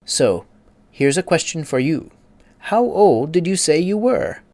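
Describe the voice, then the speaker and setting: Man voicing a haughty storybook egg creature, higher voice, lively swoops, dry sound. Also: no room sound. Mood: lecturing. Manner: haughty, quick to take offense, lecturing. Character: pompous touchy egg creature on a wall.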